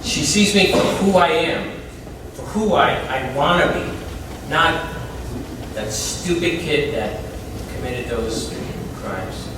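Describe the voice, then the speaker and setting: A man acting on a community theatre stage as an earnest, excitable, grateful ex-convict, speaking emphatically with halting pauses. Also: a little high. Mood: smitten, hopeful. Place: indoor theatre stage, mic far off. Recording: distant, very echoey stage recording with clear noise.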